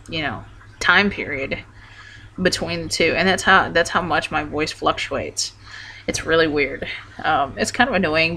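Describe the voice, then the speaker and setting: Slow, hesitant young man with a bright voice. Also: gravelly, slight background noise. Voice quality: voice wobbles between deeper and higher. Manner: hesitant, rambling, full of ums and restarts. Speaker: vlogger. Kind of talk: casual video update.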